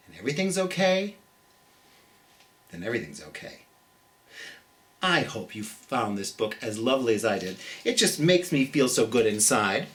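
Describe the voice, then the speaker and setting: An American storytime host reading a picture book to children and chatting in a gentle, theatrical way. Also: mid-range voice, recorded from a distance.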